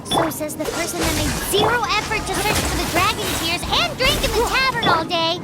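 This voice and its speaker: A tiny floating sprite companion with a very high, squeaky voice that bounces up and down in pitch. She sounds bubbly and cheeky as she refers to herself in the third person. Game music plays loudly behind her. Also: animated, brisk. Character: small chirpy female floating companion sprite. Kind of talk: video game cutscene voice acting.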